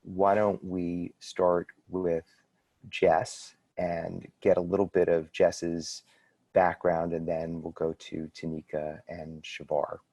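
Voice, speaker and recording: A man moderating a panel, introducing topics in a halting way with many fillers. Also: deep voice, flat delivery, darkish tone, no room sound.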